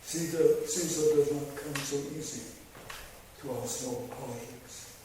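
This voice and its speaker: A man reading his own poems to an audience, with slow, measured delivery and wry asides between poems. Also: medium pitch. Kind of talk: poetry reading with spoken asides. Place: large hall before a live audience. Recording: very echoey hall, voice far from mic, background noise.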